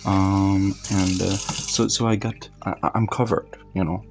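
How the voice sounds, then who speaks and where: Soft-spoken non-native man with gravelly low voice. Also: little pitch movement, noisy background. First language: not English. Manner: slow, hesitant, soft-spoken with many fillers and restarts. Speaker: meditation vlogger. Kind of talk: calm narrated live meditation. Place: quiet room at night.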